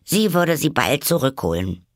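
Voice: mid-range voice, very animated, normal speed, no echo, studio quality, voice pushed up, lightly acted. A reader voicing a curious, polite and eager little girl in a storybook, earnest and exclaiming.

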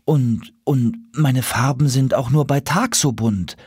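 A man's voice: mid-range voice, takes it slowly, a little mellow, slightly rough, dry recording, studio quality, pitched above the performer's own voice. A man voicing a timid, hopeful colorful bird who speaks politely and a little hesitantly.

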